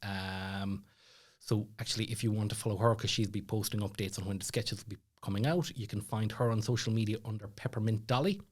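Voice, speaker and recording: A man's voice: fairly low pitch, a little fast. A man with an Irish accent telling stories casually as a podcast guest, chatty and self-deprecating, like a stand-up comedian off stage. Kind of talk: casual storytelling chat.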